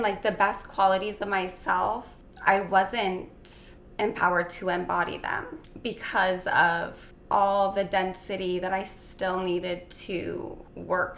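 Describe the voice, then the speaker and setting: A young woman in casual interview conversation, dreamy and full of "like" fillers, slightly hoarse. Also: fairly low pitch. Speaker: guest. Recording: echoey room with faint noise.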